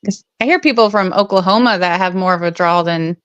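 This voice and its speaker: A woman's voice: lowish voice, a little fast, smooth, dry recording, lo-fi sound. A woman in a casual chat as co-host, asking curious questions and telling stories with giggles.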